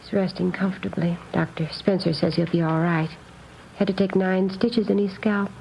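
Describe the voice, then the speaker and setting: An actress playing an earnest, naive and very proper nun in a 1950s radio drama, speaking in careful, precise phrases. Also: female voice, mid-range voice, fairly even intonation, moderate pace, creaky, a little mellow, lightly acted. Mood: eager, proper. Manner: prim, proper, carefully enunciated. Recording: dull old radio recording, faint noise.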